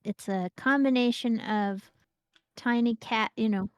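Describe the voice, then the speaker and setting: Woman streamer, pitched a bit high, playful rambling chat, dry close sound. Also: measured pace, smooth, no echo, muffled recording. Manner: chatty, playful, trails off and restarts. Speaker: co-host streaming a game. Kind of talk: casual gameplay chat.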